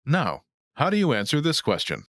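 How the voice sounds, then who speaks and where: A man hosting a language lesson, reading scripted instructions in a clear, didactic way. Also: fairly high pitch, huge pitch swings, normal speed, fairly bright tone, no room sound, studio quality.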